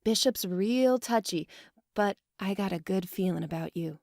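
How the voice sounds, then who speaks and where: A woman's voice: mid-range voice, moderate pace, gravelly, darkish tone, no echo, clean studio sound, close to an everyday voice. A woman playing a friendly, chatty wasteland patroller in an audio drama, soothing and familiar in manner. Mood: reassuring. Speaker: voice actor.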